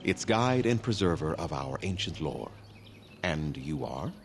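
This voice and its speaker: Deep, grave elven clan elder. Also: male voice, big pitch swings, soft background music, full character voice. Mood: stern, formal. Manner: formal, measured, grave. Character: weary, haughty male elven clan leader and lore keeper. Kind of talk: fantasy video game dialogue acting.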